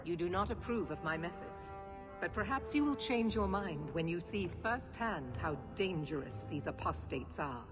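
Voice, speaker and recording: An actress playing a stern, zealous knight commander in a video game, formal and imperious. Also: female voice, lowish voice, lively intonation, normal speed, close to an everyday voice. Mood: imperious, challenging. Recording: long hall reverb, music behind.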